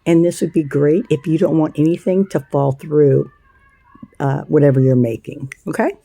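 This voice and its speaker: Woman, scratchy gravelly voice, dark tone, dry close sound, casual craft tutorial host. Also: deep voice, fairly even intonation, no room sound. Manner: chatty, unhurried, with frequent ums and uhs.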